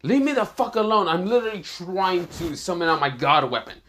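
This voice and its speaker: A man voicing a hot-headed, foul-mouthed young male hero from a fan story, ranting and crying out in drawn-out shouts. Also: on the high side, a little hiss or hum. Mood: angry, demanding.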